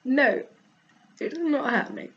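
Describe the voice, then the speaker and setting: Croaky woman vlogging with a cold. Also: sits high, lively intonation, measured pace, a bit bright, highs cut off. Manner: laughs often, frank and exasperated. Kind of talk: casual vlog rant.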